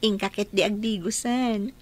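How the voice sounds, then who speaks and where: A woman in a radio drama playing a caring young fiancée who fusses gently over her man. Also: mid-range voice, a little slow, natural voice.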